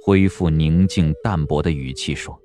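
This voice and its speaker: A man narrating a period audio drama in a measured, literary storytelling style. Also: very deep voice, warm tone.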